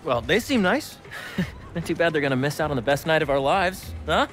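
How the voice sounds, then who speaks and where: An actor playing an easygoing, upbeat young best friend chatting casually in a video game. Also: male voice, fairly high pitch, expressive, brisk, fairly bright tone, soft background music. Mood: amused, upbeat.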